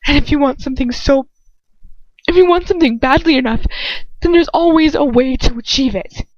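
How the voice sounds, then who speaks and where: A woman's voice: high voice, a little fast, darkish tone, no room sound, muffled recording, pitched above the performer's own voice, lightly acted. A woman voicing a bitter, anguished male villain and lover, speaking with contempt and intensity. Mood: desperate fury.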